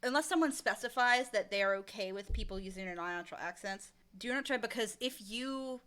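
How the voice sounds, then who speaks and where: A woman chatting casually as an interview guest, explaining her craft in an animated, emphatic way. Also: a little high, fairly quick, clean tone. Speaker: interview guest, audio drama creator and casting director.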